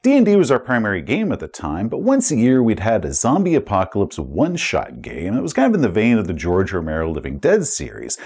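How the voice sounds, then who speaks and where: Highly animated male storyteller, slightly husky. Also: fairly quick, few pauses. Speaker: host, game master recounting stories. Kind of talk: animated anecdotal storytelling about tabletop gaming.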